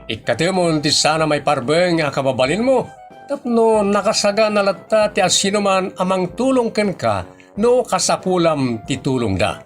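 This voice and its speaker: A performer plays a moralizing villager with an Ilocano accent. The delivery is very animated, swinging up and down while nagging and admonishing, as in a comedy audio drama. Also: mid-range voice, moderate pace, soft background music, close to an everyday voice.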